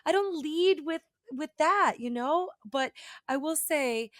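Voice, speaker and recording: A woman with a New York accent, chatting casually on a podcast, animated and laughing often as she acts out what people say to her. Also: sits high, slow, dry recording, studio quality. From Brooklyn, New York.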